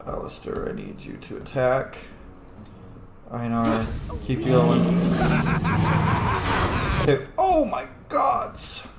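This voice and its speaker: A man's voice: medium pitch, wildly swooping pitch, slow pace, vocal fry, long pauses, echoey room, very noisy. A man giving casual, sweary gameplay commentary, talking informally to the game's characters as he plays. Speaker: gamer, let's play host.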